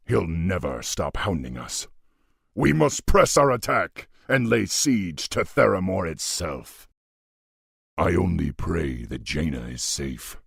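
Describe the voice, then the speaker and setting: A man voicing a noble, resolute orc warchief in a fantasy game, with a deep husky voice and weighty, stately delivery. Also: dry recording, clean studio sound, heavily acted. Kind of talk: fantasy video game acting.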